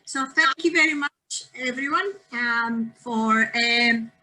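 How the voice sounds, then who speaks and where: A woman with a Greek accent gives a friendly health lecture over a video call. Her voice sits a little high, and she fills her pauses with ums and small restarts. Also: pushed and bright, faint music behind, rough recording.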